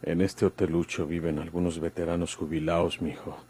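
A crafty old outlaw played by a male actor with a rustic northern Mexican accent. His voice is very deep and fatherly, heard on an old radio drama with faint noise and hum. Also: little pitch movement, a little fast, mellow, close to an everyday voice. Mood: amused, fatherly.

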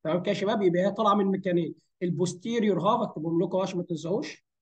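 Male Egyptian lecturer, high voice worn with fatigue, racing delivery, informal explanations peppered with English terms. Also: very fast, darkish tone, muffled recording. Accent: Egyptian Arabic. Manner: conversational, asks and answers his own questions. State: hoarse, strained voice from fatigue. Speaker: anatomy lecturer. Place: voice-over for a screen recording.

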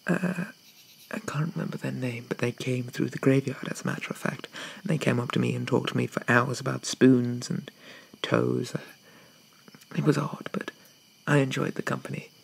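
A man playing a shy, apologetic young zombie in an ASMR roleplay, with hesitant, stammering, old-fashioned politeness and a soft whisper. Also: mid-range voice, steady tone, normal speed, low-quality recording. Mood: timid wonder. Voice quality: soft, breathy whisper with creaky edges.

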